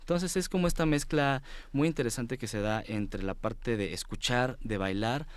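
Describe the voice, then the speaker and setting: A man's voice: medium pitch, moderate pace, clear, resonant tone, dry recording. A man with a Mexico City accent chatting informally as an interview guest, often groping for his words. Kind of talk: casual studio conversation. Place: TV studio.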